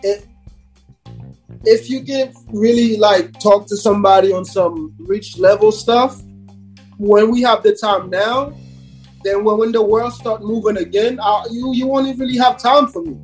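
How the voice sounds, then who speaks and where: A man's voice: sits high, unhurried, faint music behind. A man from Haiti talking casually as a hip hop artist guest on a podcast, in slangy, repetitive, emphatic phrases.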